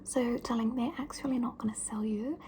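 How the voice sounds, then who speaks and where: A woman's voice: fairly high pitch, steady tone, brisk, noisy background, close to an everyday voice. A woman whispering as a pampered, fussy rich mum. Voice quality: whispered, soft-spoken. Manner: fussy, patronising, calls everyone darling. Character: vain, pampered, bossy wealthy mother. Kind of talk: ASMR roleplay. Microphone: close mic.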